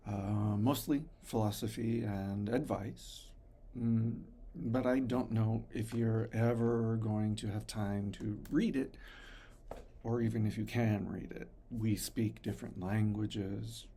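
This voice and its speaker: A man in his fifties from the United States, talking slowly and thoughtfully to his son in a reflective personal vlog. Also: lowish voice, husky. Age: 50s. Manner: slow, thoughtful, pausing often, soft-spoken. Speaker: father recording messages for his son.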